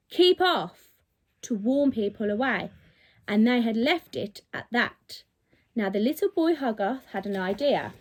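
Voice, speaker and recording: A woman reading a children's story aloud like a teacher to her pupils, expressively and with dramatic emphasis on key words. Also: high-pitched.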